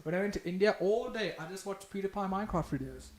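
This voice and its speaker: Young aussie guy hosting a podcast, high voice, excitable, says bro a lot. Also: fast talker, rough recording. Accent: Australian. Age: young adult. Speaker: podcast host. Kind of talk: casual chat.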